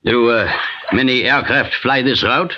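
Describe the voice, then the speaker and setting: An actor playing a haughty, suspicious German former soldier in an old-time radio drama, speaking formally and probingly with a German accent. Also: male voice, medium pitch, unhurried, bright, forceful tone. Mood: measured. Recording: noisy, muffled old radio recording.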